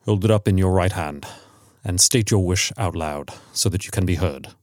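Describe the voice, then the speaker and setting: Man playing a low-voiced, grave old soldier. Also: no room sound, studio quality, close to an everyday voice. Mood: stern warning. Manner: serious, quiet, deliberate. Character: grave middle-aged sergeant major, haunted and warning.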